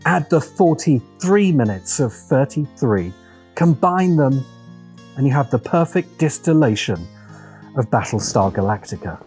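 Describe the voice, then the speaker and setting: A man narrating a scripted video essay as host, in a deliberate, wry, opinionated manner with dramatic pauses and emphasis. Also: medium pitch, sing-song, a little mellow, faint music behind.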